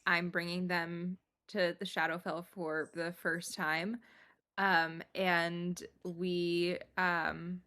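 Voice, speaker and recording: A woman's voice: on the low side, bright, forceful tone. A woman playing a tabletop role-playing game, talking casually in a tentative, rambling way.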